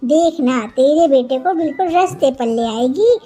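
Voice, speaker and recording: A woman's voice: sits high, expressive, normal speed, warm tone, soft background music. A woman voicing a bossy, gossipy female village bird in a kids' cartoon, chatty and coaxing. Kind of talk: Hindi kids' cartoon character voice.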